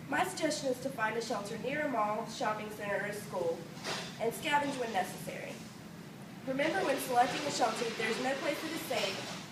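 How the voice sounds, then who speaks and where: Woman giving a speech in an echoey room. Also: on the high side. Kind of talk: prepared informative speech. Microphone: phone recording from a distance. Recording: roomy, distant phone recording with clear noise.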